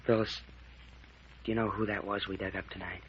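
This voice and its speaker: An actor playing a worried, secretive young university student in an old-time radio mystery, earnest and guarded. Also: male voice, fairly low pitch, flat delivery, brisk, natural voice. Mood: hushed, grave. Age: young adult. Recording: dull, noisy vintage radio recording.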